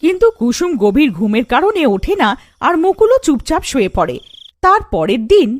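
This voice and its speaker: A woman's voice: high-pitched, very animated, moderate pace, no room sound. A woman narrating a scripted cartoon story.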